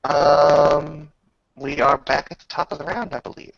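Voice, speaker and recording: A man's voice: a little high, fairly even intonation, unhurried, gravelly, no echo, muffled recording. A man casually running a tabletop roleplaying game as game master.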